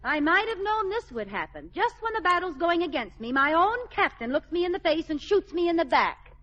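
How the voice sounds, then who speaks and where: An actress playing a well-meaning, scheming housewife in a 1940s radio comedy, coaxing and theatrical. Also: female voice, very high-pitched, very animated, a little fast. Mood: exasperated. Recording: noisy, dull old radio recording.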